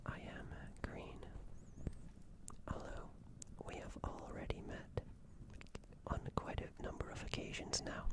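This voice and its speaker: A streamer voicing a gentle, comforting alien in a whispered ASMR roleplay, soothing and a little hesitant and naive. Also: unhurried, natural voice. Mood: gentle, reassuring. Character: gentle, comforting green alien caring for a crashed human.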